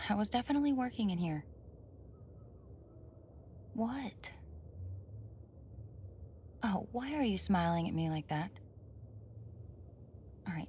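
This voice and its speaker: A woman's voice: mid-range voice, a little mellow, a little hiss or hum. A woman acting a flustered, defensive young roommate caught off guard in an ASMR roleplay, stammering, indignant and evasive. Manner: stammering, indignant, evasive, sighs and nervous laughs.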